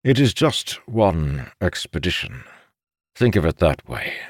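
A man voicing a weary, authoritative father of grown sons in an audiobook, stern and measured but placating. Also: low voice, big pitch swings, no room sound, studio quality, pitched above the performer's own voice, exaggerated voice. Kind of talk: audiobook character voice.

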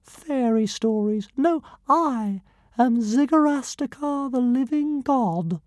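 A man voicing a tiny, arrogant male dragon who speaks grandly and insults everyone, in a squeaky, haughty manner. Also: lively intonation, slow, dry recording, clean studio sound, full character voice. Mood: grandiose. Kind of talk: audiobook character voice.

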